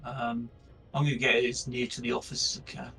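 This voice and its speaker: A man's voice: mid-range voice, level tone, fairly quick, bright, forceful tone, faint music behind, rough recording. A man chatting casually as a player in a tabletop roleplaying game.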